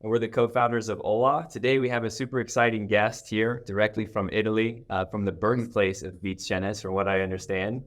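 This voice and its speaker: A man's voice: fairly low pitch, steady tone, a little fast, few pauses. A man hosting a podcast in a conversational interviewing style. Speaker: podcast host.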